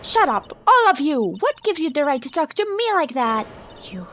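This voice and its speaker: High-voiced, volatile young witness. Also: female voice, big pitch swings, normal speed, sharp tone, soft background music, full character voice. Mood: furious. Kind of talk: video game fandub acting.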